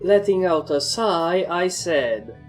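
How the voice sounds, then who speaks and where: A woman's voice: lowish voice, expressive, takes it slowly, faint music behind. A woman reading first-person fan fiction as a scripted story narrator.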